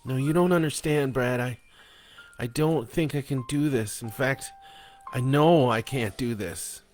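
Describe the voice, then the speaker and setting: A man's voice: a little slow, lightly acted. A man voicing a distraught young wife who is sobbing and pleading. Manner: sobbing, high-strung pleading.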